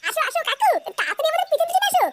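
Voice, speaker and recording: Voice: very high voice, very animated, racing, no echo. A performer voicing a brash, crude, cocky young village prankster in a comic cartoon dub. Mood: coaxing. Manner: cheeky, crude. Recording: very noisy background ambience.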